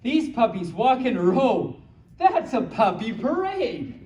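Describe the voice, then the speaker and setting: A playful storyteller reading picture books aloud to a small audience, with childlike, cutesy phrasing and excited asides. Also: mid-range voice, unhurried. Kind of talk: playful live story time with ad-libbed asides. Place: small room at a convention. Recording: echoey room from far off, faint noise.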